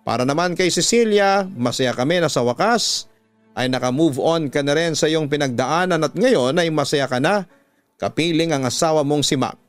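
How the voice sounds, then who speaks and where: A man's voice: a little high, normal speed, no echo. A man with a Filipino accent reading a listener's letter as scripted dramatic narration, as the host of a radio drama program. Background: background music.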